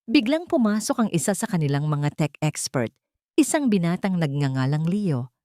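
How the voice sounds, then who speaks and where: A woman's voice: fairly low pitch, big pitch swings, normal speed, dark tone, dry recording, clean studio sound. A woman narrating a scripted dramatic story as a storyteller.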